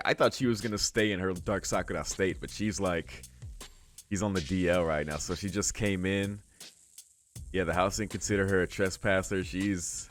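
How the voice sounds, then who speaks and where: A man hosting a visual novel read-along, switching between narrating the text aloud and casual, halting reaction commentary. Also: sits low. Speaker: let's-play host reading a visual novel aloud and reacting.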